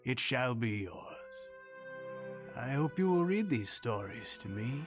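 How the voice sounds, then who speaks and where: A doting but controlling fairy-tale lord, measured and formal in his speech. His voice is medium in pitch, with clear music playing behind it. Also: very animated. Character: possessive, doting lord father of a captive princess. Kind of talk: animated fairy tale acting.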